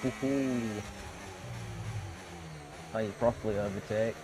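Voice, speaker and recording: A man's voice: fairly low pitch, fairly even intonation, slow pace. A man casually commentating as he plays a racing game and gives it voice commands. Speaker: gamer playing a racing video game. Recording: noisy mic with hum.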